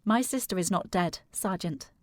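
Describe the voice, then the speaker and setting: A woman's voice: fairly low pitch, normal speed, dry recording, studio quality, natural voice. An actress playing a polite, earnest and determined young lady in a period audio drama, with formal speech. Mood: firm, determined. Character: distressed, polite, determined young lady searching for her sister.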